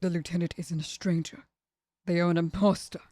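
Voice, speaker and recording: A woman's voice: fairly low pitch, level tone, fairly quick, warm tone, no room sound, clean studio sound, natural voice. A woman playing an irritable, stubborn assassin in an ASMR audio roleplay, blunt and dismissive in her delivery. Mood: indignant. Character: irritable, stubborn female assassin, undead and aloof.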